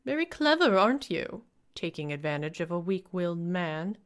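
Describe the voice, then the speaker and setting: A woman's voice: lowish voice, very animated, normal speed. A woman playing an icy, domineering, hostile wealthy wife with a cutting, commanding manner in an audio drama. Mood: cold, menacing. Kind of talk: detective audio drama acting.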